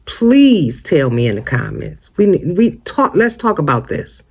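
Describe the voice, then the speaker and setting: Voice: mid-range voice, big pitch swings, unhurried, darkish tone, slightly rough. An American vlogger giving casual, opinionated commentary straight to viewers, emphatic and conversational, often posing rhetorical questions. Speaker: host of a true-crime commentary channel.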